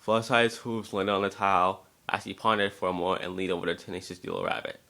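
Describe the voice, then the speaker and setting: Lowish-voiced man reading a story aloud. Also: fairly even intonation, quick pace, bright, forceful tone. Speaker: narrator. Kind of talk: amateur dramatic story reading.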